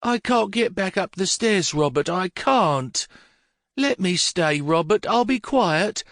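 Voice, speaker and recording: A male narrator puts on a little old mother in a storybook, trembling with petulant rage. Her voice is pitched far above his own and swoops with feeling. The reading is close and dry. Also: expressive, slow pace, a bit bright, no room sound, full character voice. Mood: pleading. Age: older. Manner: shrill, quavering, childish pleading.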